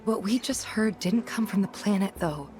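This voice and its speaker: Female actor as young game heroine: mid-pitched, direct, confident, music underneath. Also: moderate pace, lightly acted. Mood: insistent, serious. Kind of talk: video game cutscene acting. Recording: music behind the voice.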